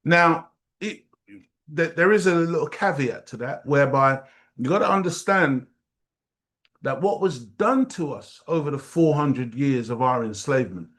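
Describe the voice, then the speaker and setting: A man's voice: on the high side, measured pace, fairly bright tone. A middle-aged man from Jamaica giving an impassioned community teaching talk, emphatic and preacher-like, repeating phrases for weight. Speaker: study group speaker.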